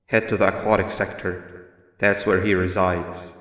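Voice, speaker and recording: A guarded creature guide, with a low, steady voice. He gives instructions in a calm, measured way. Everything is bathed in a roomy, lingering reverb. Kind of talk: video game voice acting. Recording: echoey reverberant game audio.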